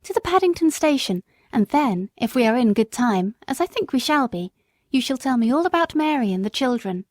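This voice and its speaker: A woman voicing a genteel, gracious lady in a storybook reading, with a bright and refined manner. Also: high-pitched, big pitch swings, a little fast, no room sound, studio quality.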